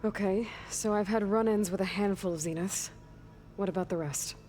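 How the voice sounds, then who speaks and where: An actress playing a young video game heroine, direct, practical and skeptical, with an understated, plain-spoken delivery. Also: female voice, mid-range voice, moderate pace, warm tone, faint music behind, lightly acted. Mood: businesslike. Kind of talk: video game character dialogue.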